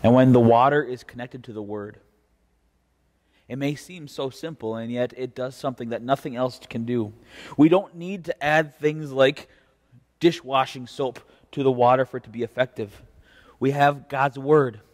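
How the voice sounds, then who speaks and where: A young American man preaching a devotion as a pastor, in a measured, earnest and deliberate way. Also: mid-range voice. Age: young adult. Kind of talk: devotional preaching with scripture reading. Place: indoor church chancel. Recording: reverberant church, mic at a distance.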